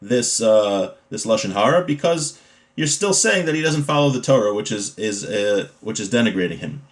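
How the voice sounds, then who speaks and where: A man's voice: lowish voice, animated, moderate pace. Man teaching an informal religious law lesson. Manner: explanatory, checks in with rhetorical questions.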